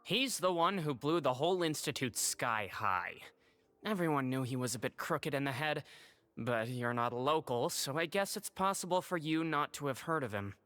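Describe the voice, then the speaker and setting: An actor playing a skeptical, dutiful research consultant in a video game. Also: male voice, fairly high pitch, expressive, moderate pace, fairly bright tone, no room sound, long reverb tail. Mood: skeptical, dry. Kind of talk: animated game voice acting.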